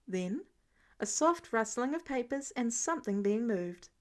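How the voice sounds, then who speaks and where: A woman narrates a written story. Her voice is on the high side. She reads scripted prose as the storyteller of an amateur audiobook. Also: animated, a little slow, a little mellow. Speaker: podfic narrator.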